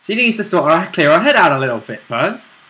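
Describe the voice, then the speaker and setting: A man's voice: on the high side, big pitch swings, a little fast, bright, forceful tone. A man who plays in a tabletop campaign, talking it over in casual chat. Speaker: player in the roleplay campaign.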